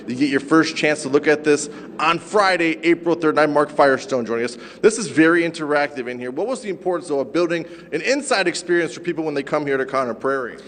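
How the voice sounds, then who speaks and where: A reverberant interior rings around a man working as a TV field reporter. His voice is fairly high, and he delivers on-location news and puts questions to an interviewee. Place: inside a new museum. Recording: echoey large museum interior.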